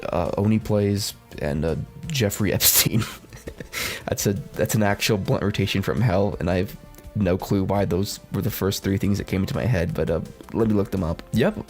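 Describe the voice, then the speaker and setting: A man's voice: lowish voice, a little mellow. A man giving casual, scripted video commentary with a blunt, sarcastic manner and frequent swearing. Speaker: video essay host. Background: background music.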